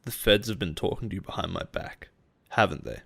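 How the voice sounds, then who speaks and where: An actor playing a possessive male boss in an intimate roleplay audio drama, coaxing and quietly menacing. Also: deep voice, a little fast, creaky, full character voice. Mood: controlling, quietly menacing. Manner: soft-spoken coaxing, chuckles and sighs, quietly menacing.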